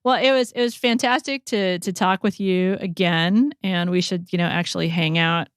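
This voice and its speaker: A woman's voice: fairly low pitch, steady tone, moderate pace, clean tone, no echo, clean studio sound. A woman hosting a podcast in a casual, conversational style. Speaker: podcast host. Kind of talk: casual chat.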